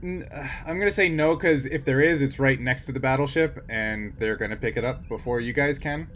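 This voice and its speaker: A man running a tabletop game, narrating and explaining rules casually in a halting, think-aloud way. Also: medium pitch, fairly bright tone, a little hiss or hum. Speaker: game master.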